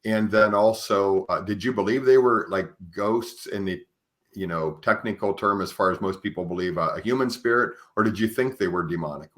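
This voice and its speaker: A man hosting a podcast, asking probing questions in a halting, conversational way and restating phrases as he goes. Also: lowish voice, fairly bright tone. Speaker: podcast host interviewing a guest. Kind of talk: conversational interview.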